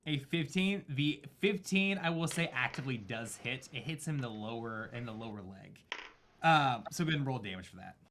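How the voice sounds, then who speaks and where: A man acting as game master, improvising narration in a casual, halting way full of filler phrases and swearing. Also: medium pitch, animated, background noise.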